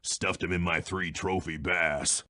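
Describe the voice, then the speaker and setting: An actor playing a folksy villainous train baron in a video game, with a gravelly voice and a cowboy drawl. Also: male voice, low-pitched, steady tone, a little slow, pushed and bright. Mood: boastful. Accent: North American cowboy drawl. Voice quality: gravelly, rough.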